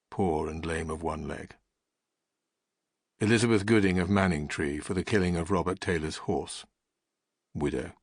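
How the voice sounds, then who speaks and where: A man reading scripted audiobook text in a grave, formal and deliberate manner. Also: deep voice, slightly rough, no room sound, studio quality. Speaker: audiobook narrator.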